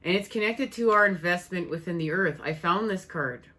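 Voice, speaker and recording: A woman giving a spiritual tarot reading, her voice worn and fading, speaking in slow, soothing, repetitive phrases. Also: fairly low pitch, expressive, bright, forceful tone. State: losing her voice. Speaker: tarot reader.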